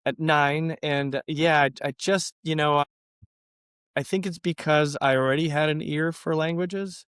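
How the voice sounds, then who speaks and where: A man's voice: on the high side, steady tone, unhurried, fairly bright tone, clear, resonant tone, no room sound, studio quality. A middle-aged man with an American accent, chatting casually as an interview guest, whose first language was Yiddish. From born in Lithuania, grew up in Russia and the US Midwest. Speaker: interview guest, company president. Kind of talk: casual chat.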